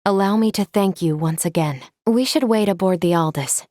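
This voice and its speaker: An actress voicing a composed, polite female android in a video game, speaking in formal, measured, precise phrases. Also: mid-range voice, darkish tone, no echo, studio quality, natural voice. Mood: serious, measured. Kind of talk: scripted video game character lines.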